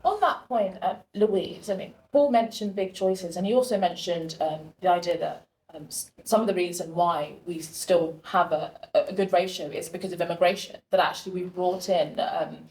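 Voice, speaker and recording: A woman's voice: fairly low pitch, fairly even intonation, darkish tone. Woman moderator in an echoey room. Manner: halting, many fillers. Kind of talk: panel moderating.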